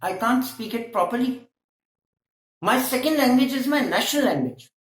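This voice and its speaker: A woman's voice: fairly high pitch, lively intonation, moderate pace, roomy sound. A woman with a Pakistani accent, a native Hindko speaker, giving a teacher training lecture in an emphatic, scolding way full of rhetorical questions.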